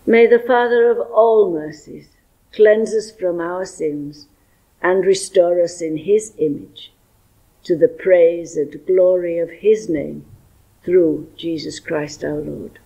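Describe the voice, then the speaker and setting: A woman's voice: lowish voice, takes it slowly, dark tone, husky, poor audio. An older woman leading a church service, reading liturgical prayers in a church. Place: church interior.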